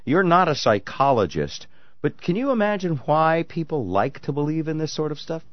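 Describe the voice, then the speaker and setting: A man's voice: a little high, very animated, moderate pace, no room sound, highs cut off. A man hosting a morning radio talk show, asking playful, teasing questions. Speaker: radio host.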